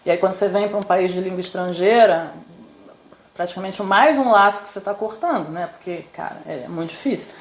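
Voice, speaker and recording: A woman's voice: fairly low pitch, brisk. A Brazilian woman in her fifties, co-hosting a casual chat, chatty and enthusiastic. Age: 50s. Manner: chatty, enthusiastic, fillers like "assim". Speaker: co-host, immigrant journalist. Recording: echoey room.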